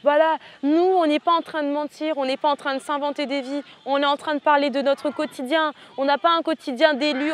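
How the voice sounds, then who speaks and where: A woman's voice: high voice, slight background noise. A teenage woman from French Guiana, a student activist leader, giving impassioned, rhetorical interview answers outdoors. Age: teens. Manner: impassioned, rhetorical, repeats phrases.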